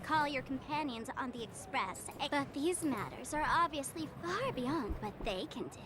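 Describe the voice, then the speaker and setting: A woman's voice: sits high, expressive, moderate pace, background noise. A woman playing a sly, nonchalant young female trickster in a video game, breezy and sardonic. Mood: teasing, dismissive. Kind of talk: video game character acting.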